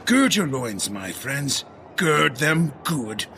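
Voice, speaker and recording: A man's voice: on the high side, very animated, slow pace, creaky, pushed and bright, slight background noise, full character voice. A man voicing a boisterous, hearty caped superhero with an exclamatory, blustering manner in a comedic audio drama.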